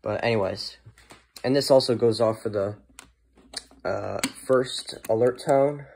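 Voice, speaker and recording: A man with a medium-pitched voice talks casually through a show-and-tell. He is unscripted and halting, letting sentences trail away. He is recorded indoors in a home room. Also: creaky, a little mellow, a little hiss or hum. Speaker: hobbyist presenter of a weather radio collection.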